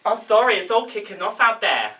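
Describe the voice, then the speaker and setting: A man acting an excitable, touchy Spanish porn actor in his late twenties at an amateur table read, with a mock Spanish accent and exaggerated delivery. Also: sits high, fairly quick, pitched above the performer's own voice, exaggerated voice. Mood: excited, boastful. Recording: roomy, distant mic with background noise.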